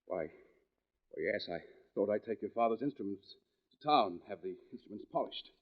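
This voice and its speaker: An actor playing a brooding, tormented husband, both menacing and tender, with intense, halting melodrama in 1940s radio mystery style. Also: male voice, lowish voice, expressive. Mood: guarded menace. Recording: dull old radio recording.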